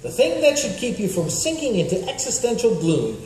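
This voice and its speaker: A man's voice: high-pitched, wildly swooping pitch, fairly quick, darkish tone. A man playing a pompous, wry announcer, narrating a comic radio serial in a theatrical style. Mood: grandly philosophical. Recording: very echoey hall, faint noise.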